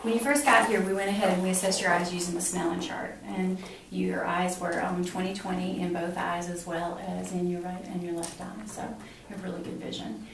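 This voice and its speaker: A middle-aged woman clinician explaining a physical exam step by step, in an instructional way with hesitant pauses. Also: on the low side, level tone. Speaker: clinician examining a patient. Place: small hard-walled exam room indoors. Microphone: camera mic a few feet away. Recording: echoey room, distant mic, clear background noise.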